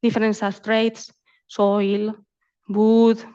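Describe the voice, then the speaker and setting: A woman's voice: medium pitch, level tone, drawn-out words, dark tone, clean tone, muffled recording. A woman presenting research at a conference, speaking as an academic and often repeating words as she goes. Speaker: invited academic speaker, community ecologist. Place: symposium talk.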